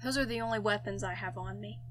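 A woman voicing a suave, self-assured and dangerous Frenchman with a French accent and a smooth, teasing delivery in an audio story. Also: medium pitch, quick pace, a little hiss or hum, natural voice. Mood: flirtatious. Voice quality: smooth, sweet. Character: suave, flirtatious, menacing Frenchman with a gun.

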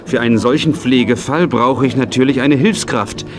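An actor playing a kindly, hearty estate owner in a children's radio play, in standard German. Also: male voice, mid-range voice, moderate pace, music in the background, lightly acted. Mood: curious, bantering.

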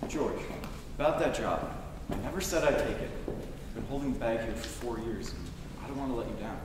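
A man's voice: medium pitch, a little fast, lightly acted. A man acting a breezy, affable younger brother in a staged old-time radio play. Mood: apologetic. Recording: echoey hall from far off, noisy.

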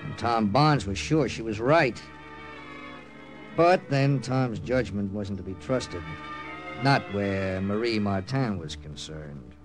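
An actor playing a resolute, hard-boiled wartime captain in an old-time radio drama, speaking and narrating in a firm, clipped, dramatic way. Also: male voice, on the low side, slow, music in the background. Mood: grave, dramatic.

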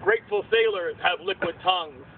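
A storybook bard, played by a man, with a high male voice. He declaims rhyming narration in a measured, solemn way. The recording is tinny with faint noise. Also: close to an everyday voice. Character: storybook bard narrator telling a seaside legend. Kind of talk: scripted verse narration for puppet theater.

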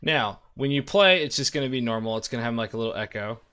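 Casual man hosting a software tutorial. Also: medium pitch, fast talker, clean tone. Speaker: tutorial host. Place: indoor room at a desk.

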